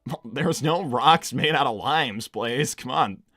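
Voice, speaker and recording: A man's voice: mid-range voice, bright, forceful tone, no room sound, faint music behind, exaggerated voice. Man voicing a cocky, casual young hedgehog hero, dry and close. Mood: stubbornly clueless. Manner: casual, halting, lots of "like" and "you know". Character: Sonic, cocky, laid-back, dense young male hedgehog hero. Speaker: live stream character performer reading donor messages. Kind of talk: improvised in-character live roleplay, casual banter.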